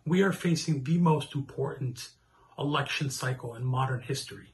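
A man's voice: medium pitch, moderate pace. A man who is a trauma surgeon, giving an earnest, serious statement.

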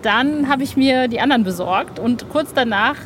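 A woman with a fairly high voice tells stories with eager, chatty energy, restarting her sentences as she goes. Faint street and traffic sounds run behind her. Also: animated, moderate pace. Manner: chatty, enthusiastic storytelling, many restarts. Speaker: podcast host. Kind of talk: casual interview chat.

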